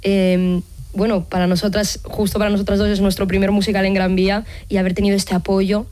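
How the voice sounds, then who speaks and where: A woman's voice: mid-range voice, little pitch movement, brisk, darkish tone. A young woman, a musical theatre performer, chatting casually as an interview guest. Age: young adult.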